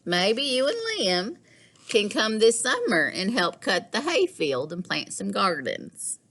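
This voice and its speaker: A woman reading the part of a kindly old grandmother in a storybook, gentle and inviting. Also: mid-range voice, sing-song, slow, pitched above the performer's own voice, full character voice. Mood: fond.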